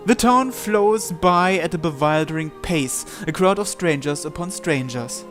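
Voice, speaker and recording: A man's voice: on the high side, unhurried, fairly bright tone, faint music behind. A man hosting a game playthrough, reading the story aloud expressively and adding casual commentary. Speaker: gamer hosting a visual novel playthrough.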